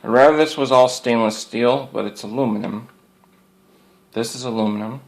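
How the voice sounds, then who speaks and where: A man's voice: mid-range voice, fairly even intonation, normal speed, vocal fry. A man giving a casual, rambling product show-and-tell in a folksy, plainspoken way, often repeating his phrases. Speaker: hobbyist presenter showing radio antenna gear.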